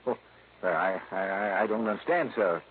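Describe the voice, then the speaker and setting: A man's voice: low-pitched, normal speed, natural voice. A man playing a flustered, guilty small-town mayor who stammers evasively in a 1950s radio drama. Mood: nervous, hesitant. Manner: hesitant, stammering. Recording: tinny, noisy vintage radio recording.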